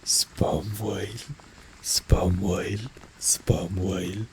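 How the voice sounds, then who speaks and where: A low, gravelly man talks through a vocabulary lesson at an unhurried pace. He often drops into a whisper to repeat each word several times. Faint rain patters in the background. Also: level tone, noisy background. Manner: soft-spoken, often whispers words, repeats them slowly. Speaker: German vocabulary teacher. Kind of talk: relaxed spoken language lesson with whispered repetitions.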